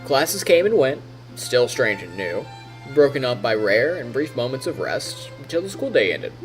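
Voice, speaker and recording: A man's voice: medium pitch, sing-song, normal speed, poor audio. A man streaming, reading narration from a visual novel aloud and adding casual comments. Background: game music.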